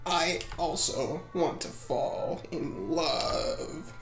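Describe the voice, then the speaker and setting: A woman's voice: lowish voice, fairly even intonation, takes it slowly, a little mellow. Woman acting a rich teenage girl, insecure, blunt, whiny, dragging pace, echoey room, faint music. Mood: wistful. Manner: whiny, blunt, dramatically self-pitying. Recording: roomy echo, music underneath.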